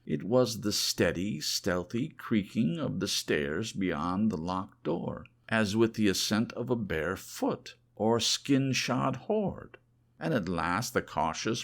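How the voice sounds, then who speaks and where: A man narrating a horror audiobook in a theatrical, deliberate, scripted manner. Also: low voice, creaky.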